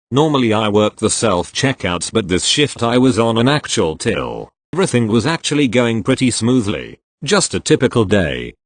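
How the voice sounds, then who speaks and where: A man reads customer-service stories aloud in a low voice that swings widely in pitch. The sound is close and dry, with no room sound. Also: no echo. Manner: expressive reader voicing each character in turn. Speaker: narrator reading online posts. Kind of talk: scripted storytelling narration.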